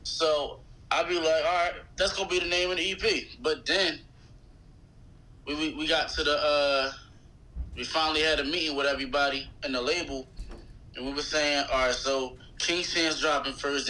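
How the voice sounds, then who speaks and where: A man's voice: fairly high pitch, normal speed, low-quality recording. A man from West Philadelphia with a Philadelphia accent, a rapper telling stories casually and slangily as an interview guest. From West Philadelphia, USA. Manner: colloquial, slangy, animated retelling with quoted dialogue. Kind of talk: casual chat, storytelling.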